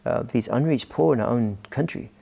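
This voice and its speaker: A man's voice: medium pitch, fairly quick, vocal fry, dark tone. A middle-aged Scottish man, a pastor guesting on a podcast, talking casually with many hesitations and restarts. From Scotland. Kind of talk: casual interview talk.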